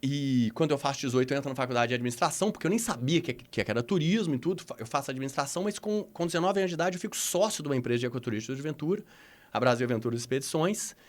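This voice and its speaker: A man's voice: medium pitch, animated, very fast. A middle-aged man from Minas Gerais with a Minas Gerais accent, a podcast guest telling his story reflectively, with many restarts. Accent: Minas Gerais Portuguese. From Minas Gerais, Brazil.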